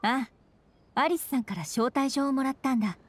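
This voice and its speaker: A woman's voice: high-pitched, a little fast. A woman voicing a dutiful young female knight commander in an anime game, formal, composed and polite. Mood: earnest. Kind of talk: Japanese anime game voice acting.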